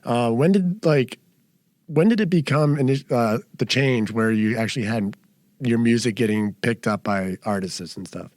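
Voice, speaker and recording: A man's voice: mid-range voice, moderate pace, darkish tone, dry recording, clean studio sound. A man hosting a casual interview, encouraging his guest and asking long, winding questions. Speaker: host.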